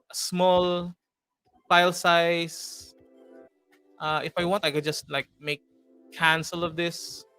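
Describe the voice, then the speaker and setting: Filipino accented guy walking viewers through an app, bright slightly high voice, lots of likes and ums, faint music under. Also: clean tone. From Philippines. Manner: chatty, filler-heavy, joking asides. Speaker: host. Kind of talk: live screen-share tutorial.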